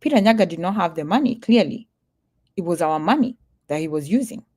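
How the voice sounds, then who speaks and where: A woman vlogger from Kenya talks straight to her viewers in a loose, chatty way. Her voice sits a little low for a woman, and she leans into her warnings, saying them again and again. She laughs easily between points. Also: lively intonation, fairly quick, a little mellow, breathy edge. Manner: earnest, emphatic, repeats warnings, laughs easily. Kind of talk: casual livestream talk to viewers.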